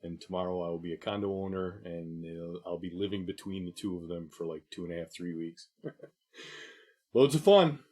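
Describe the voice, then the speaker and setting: A man from Buffalo, New York, co-hosting a podcast, chatting in a relaxed way with frequent laughs and loudness that rises and drops. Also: deep voice, moderate pace. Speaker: podcast co-host. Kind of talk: casual chat.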